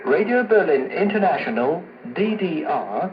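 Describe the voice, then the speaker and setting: A man reading a formal scripted station announcement with measured, deliberate enunciation, recorded off shortwave radio. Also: high voice, very animated, a crowd in the background. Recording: noisy, echoey shortwave radio recording, muffled.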